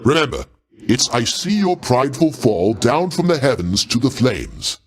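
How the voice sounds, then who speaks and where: A pompous jovial older god king for a video game, grand and avuncular, pitch swoops all over the place. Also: wildly swooping pitch, moderate pace. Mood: solemn, portentous. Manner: grandiose, avuncular, genteel, archaic phrasing. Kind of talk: theatrical video game character acting.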